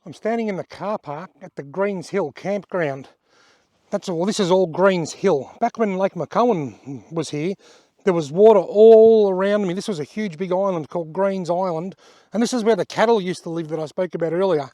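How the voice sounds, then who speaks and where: Australian bloke, slightly croaky, higher-pitched, casual outdoor walk-and-talk tour, dry close sound. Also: male voice, moderate pace, mellow, no echo. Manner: chatty and plain-spoken, pointing things out as he goes. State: slightly hoarse from a bug. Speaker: outdoor vlogger giving a tour. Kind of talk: casual walking-tour narration. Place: outdoors at wetlands by a creek and car park.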